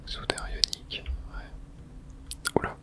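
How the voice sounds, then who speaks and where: A man speaking in a breathy, unvoiced whisper, giving hushed ASMR commentary on a video game and thinking aloud in short remarks. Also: takes it slowly.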